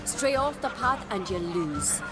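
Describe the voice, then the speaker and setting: A woman's voice: medium pitch, sing-song, measured pace. An actress playing a spirited, competitive young female warrior in a game cutscene. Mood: challenging. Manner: spirited, challenging. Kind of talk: video game cutscene acting. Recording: game cutscene with loud score. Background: loud orchestral music.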